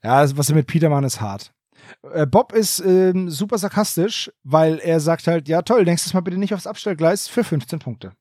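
A man's voice: fairly high pitch, animated, normal speed, a little mellow, dry recording, studio quality. A man co-hosting a podcast in casual chat, talking loosely with lots of fillers. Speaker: podcast co-host. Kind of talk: casual chat reviewing an audio drama.

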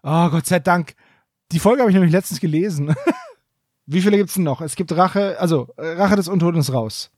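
Ein Mann, der in einem lockeren Podcast aufgedreht und verspielt beim Quiz rät. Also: male voice, fairly high pitch, normal speed, no room sound, clean studio sound. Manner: excitable, playful guessing. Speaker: podcast co-host. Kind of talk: casual podcast chat.

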